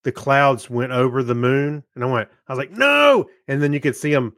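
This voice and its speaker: A man co-hosting a show, talking casually in an easygoing, loosely phrased and halting way. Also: mid-range voice, lively intonation, a bit bright, no echo, clean studio sound. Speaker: podcast co-host. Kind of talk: casual chat.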